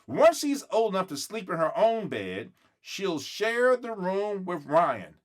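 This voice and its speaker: A man voicing a gentle, reassuring mother in a children's storybook, patiently drawing out her explanations. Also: high voice, sing-song, slow, voice pushed up, heavily acted. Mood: explaining.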